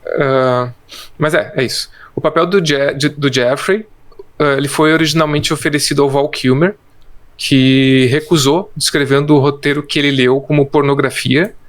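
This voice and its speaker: A man's voice: a little high, fairly even intonation, moderate pace. A man co-hosting a casual film podcast, reading trivia and quotes from his notes with hesitant restarts. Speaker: podcast co-host.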